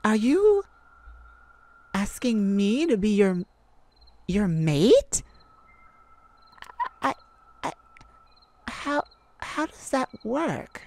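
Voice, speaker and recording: Woman acting slow-paced, excitable, affectionate mage sweetheart. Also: mid-range voice, slight background noise, heavily acted. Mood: moved, affectionate. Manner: gushing, giggly, rambling, flustered. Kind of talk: romantic ASMR roleplay audio drama.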